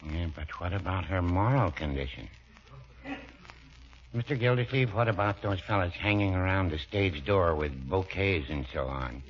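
An American actor playing a mild, cautious small-town druggist with a hesitant, dry delivery in a 1940s style radio comedy. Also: male voice, low-pitched, moderate pace, natural voice. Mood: cautiously doubtful. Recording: dull old radio recording.

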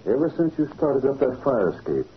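A muffled old radio drama with faint hiss. a slick, crooked businessman who taunts his foe. His pitch swings widely as he speaks. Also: big pitch swings, fairly quick. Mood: smug, taunting. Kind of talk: 1940s radio acting.

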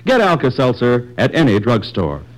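An American man announces for a 1940s radio sponsor. His mid-pitched voice moves up and down in a lively way through scripted copy, on a vintage broadcast. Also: normal speed, rough recording.

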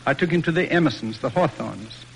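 A man's voice: mid-range voice, expressive, a little fast, vocal fry. An actor playing a stern, exasperated father in a formal, emphatic 1940s radio drama style. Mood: weary exasperation. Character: exasperated stern father disappointed in his son. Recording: noisy old radio broadcast recording.

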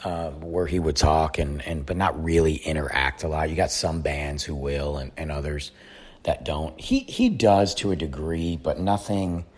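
A man hosting his own podcast with a hoarse, fading voice, talking loudly and rambling with stumbling restarts. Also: very deep voice, moderate pace. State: hoarse, voice nearly gone after shouting. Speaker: podcast host. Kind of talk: casual solo podcast recap.

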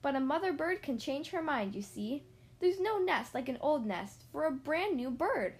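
A sweet, sing-song mother bird from a children's storybook, as a woman reader puts her on. Her voice sits high and moves lively up and down. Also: normal speed. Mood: gentle. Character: contented mother bird, small, fond of home.

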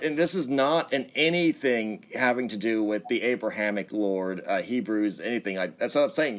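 A man talking bluntly in a casual livestream conversation, swearing often and filling gaps with "like" and "uh". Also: on the low side. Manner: blunt, profane, filler-heavy.

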